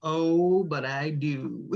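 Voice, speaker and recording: A woman's voice: low-pitched, very slow, a little mellow, highs cut off, full character voice. A woman playing a witty, teasing poet visiting an old lover in a script read, with an American accent and slangy, playful delivery. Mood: affectionate, playful. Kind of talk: informal screenplay table read.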